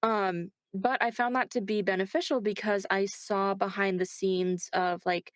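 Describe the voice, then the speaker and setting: A woman's voice: mid-range voice, steady tone, unhurried, no echo, studio quality. A woman voice actor being interviewed, talking conversationally and reflectively, often breaking off to correct herself. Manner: chatty, self-interrupting, reflective.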